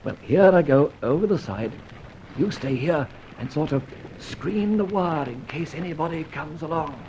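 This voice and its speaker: An actor playing a breezy, scheming gentleman adventurer in an old radio serial, with clipped old-fashioned diction. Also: on the high side, animated, moderate pace, close to an everyday voice. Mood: brisk, confident. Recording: muffled, noisy vintage radio recording.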